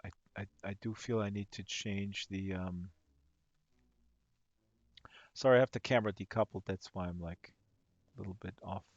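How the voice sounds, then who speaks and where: A man with a hoarse voice giving casual, excitable live-stream commentary, rambling and gushing with amazement. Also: low-pitched, moderate pace, pauses often, no echo. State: hoarse from talking all day. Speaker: live streamer, virtual world tour guide. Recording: dull lo-fi stream audio with music underneath. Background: music playing.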